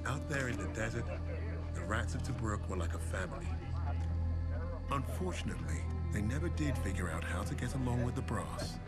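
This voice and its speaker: A man playing a wry wartime officer who narrates a comrade's story in a measured, dryly sardonic way for a war game. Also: medium pitch, expressive, loud music behind the voice, close to an everyday voice. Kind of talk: cinematic scripted narration.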